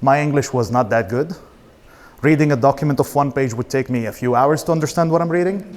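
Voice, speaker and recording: A man's voice: medium pitch, a little fast, a little mellow. A man in his thirties from Israel, an Arabic speaker, giving an informal, blunt personal talk as a startup founder. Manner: casual, blunt, swearing.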